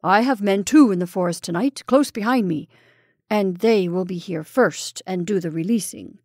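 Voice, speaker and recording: A woman's voice: mid-range voice, normal speed, darkish tone, no room sound, lightly acted. Woman putting on a bitter trapped man, snarling, mocking, storybook reading, dry sound. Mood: cold threatening. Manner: snarling, taunting.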